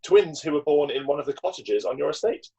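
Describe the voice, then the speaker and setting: A man's voice: fairly high pitch, a little fast, creaky, dark tone, husky, full character voice. A man playing a kindly, pompous Victorian clergyman with solemn, formal delivery in an amateur play reading. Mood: solemn, obliging. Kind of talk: amateur play read-through. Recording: dull, lo-fi video call audio.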